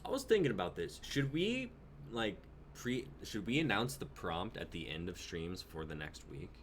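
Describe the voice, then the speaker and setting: A man's voice: on the low side, very animated, moderate pace, slight background noise. A young man hosting a casual livestream, talking in an informal, chatty way. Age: young adult. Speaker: host of a script-reading livestream. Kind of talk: casual livestream group chat.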